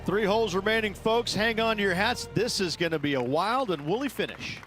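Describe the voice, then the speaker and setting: A man's voice: sits high, animated, a little fast, fairly bright tone, soft background music. A man playing a folksy, upbeat golf broadcast partner in a video game, commenting in short phrases.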